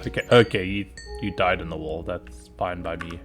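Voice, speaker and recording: A hoarse man streaming a video game, rambling to himself in casual commentary as he plays. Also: low voice, normal speed, over music. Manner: rambling, talking to himself while playing.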